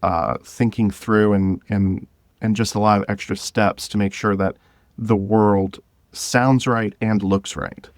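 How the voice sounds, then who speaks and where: A man's voice: on the low side, fairly even intonation, slow, dry recording. A man speaking as a podcast guest, explaining his creative work in a casual, conversational way. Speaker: podcast guest, scriptwriter of an audio drama.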